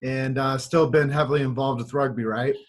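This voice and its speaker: A man's voice: mid-range voice, steady tone, fairly quick. A man hosting a podcast interview, asking friendly, upbeat questions into a studio mic. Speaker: podcast host. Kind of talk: casual interview.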